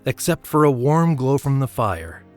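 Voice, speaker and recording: A man narrating a story from a script in a measured storytelling manner. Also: mid-range voice, big pitch swings, a little mellow. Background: music.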